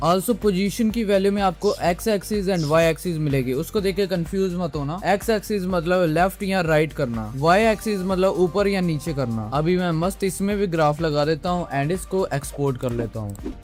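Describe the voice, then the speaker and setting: Indian male tutorial presenter, high voice, informal and instructional, English words mixed in, faint music underneath. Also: a little mellow. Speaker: video editing tutorial presenter. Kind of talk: casual step-by-step tutorial narration.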